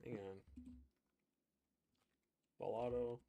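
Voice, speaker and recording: A man's voice: mid-range voice, fairly even intonation, very slow, muffled recording, noisy background. A man streaming a game, chatting casually and thinking aloud as he plays. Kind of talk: live gameplay commentary.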